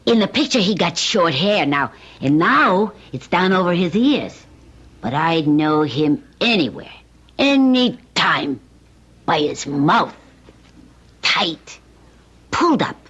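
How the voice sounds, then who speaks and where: An actress playing an old candy-store woman in old-time radio drama, halting and emphatic, with a cracked, folksy voice. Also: on the low side, big pitch swings, fairly bright tone, breathy edge, exaggerated voice. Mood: certain, halting. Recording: dull old radio recording.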